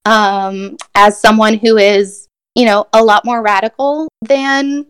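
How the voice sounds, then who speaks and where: Woman from Brazil, poet in an interview, smooth clear voice, reflective, halting with fillers. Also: mid-range voice, steady tone. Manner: thoughtful, many fillers and restarts, soft laughs. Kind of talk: reflective conversational interview answer.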